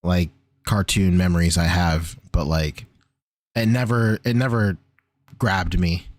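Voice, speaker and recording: A man in his thirties chatting casually as a podcast co-host, joking, slangy and quick to swear. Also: sits low, fairly even intonation, no echo. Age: 30s. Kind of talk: casual chat about toys and cartoons.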